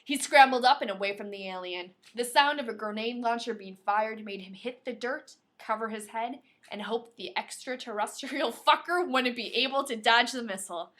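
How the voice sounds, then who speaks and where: A woman with a fairly high voice hosts a podcast, reading fiction aloud in an expressive audiobook style. She is playful and slips easily into casual joking asides. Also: normal speed, hardly any pauses.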